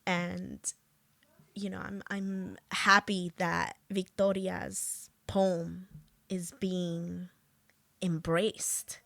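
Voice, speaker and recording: A woman hosting a podcast, talking casually in a slow, halting way with lots of fillers. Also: on the low side, flat delivery, vocal fry, a bit bright, dry recording. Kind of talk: casual conversation.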